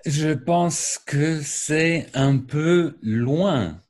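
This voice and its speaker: A middle-aged American man, a native English speaker, answering questions over a video call in careful, deliberate French with an American accent. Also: a little high, darkish tone. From United States. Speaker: guest, video editor. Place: home room with paintings and lamp, indoors. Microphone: webcam on a video call.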